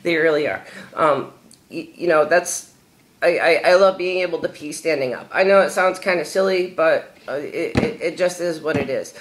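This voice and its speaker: A young adult woman answering viewer questions in a casual vlog. She talks candidly and haltingly, with many fillers and repeated words. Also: sits low, a little hiss or hum. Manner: candid and chatty.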